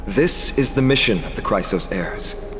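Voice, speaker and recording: An actor playing a calm, eloquent male hero in a fantasy game, speaking in measured, theatrical storytelling. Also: on the low side, noisy background, close to an everyday voice. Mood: resolute. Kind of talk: scripted game dialogue. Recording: long hall reverb, music behind. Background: music.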